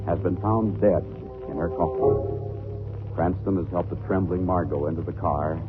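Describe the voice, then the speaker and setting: Deep man, dramatic old-time radio narrator, thin narrow recording, faint music behind. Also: steady tone, measured pace. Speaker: story narrator, announcer.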